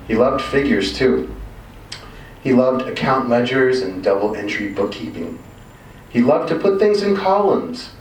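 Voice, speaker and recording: A man with a medium-pitched voice performs a literary short story. His delivery is expressive, measured and wryly emphatic. The sound is roomy, with some faint noise behind him. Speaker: reader of a short story at a live reading. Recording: roomy, distant mic, faint background noise.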